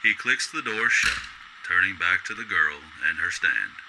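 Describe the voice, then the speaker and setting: Male radio-drama narrator: deep voice, measured literary storytelling, clear noise in the recording. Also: lively intonation, rough recording. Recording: loud background noise.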